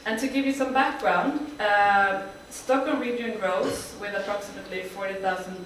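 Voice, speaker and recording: A woman's voice: medium pitch, moderate pace, slight background noise. A woman from Sweden giving a team project presentation to an audience, with frequent fillers. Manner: speaks with frequent uh fillers, friendly and plain. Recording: echoey hall, voice from far off.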